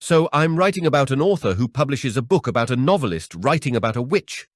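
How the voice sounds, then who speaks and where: Man acting a wry, excitable horror writer. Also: medium pitch, quick pace, no echo, studio quality, voice pushed up, close to an everyday voice. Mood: wry, animated. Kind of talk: audiobook character dialogue.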